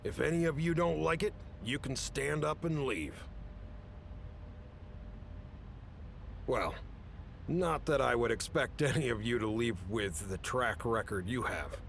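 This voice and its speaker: A man playing an authoritative, businesslike chief who briefs agents in a measured way in an audio drama. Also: creaky, a bit bright. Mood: stern. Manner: authoritative, measured, matter-of-fact. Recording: rumbly background noise.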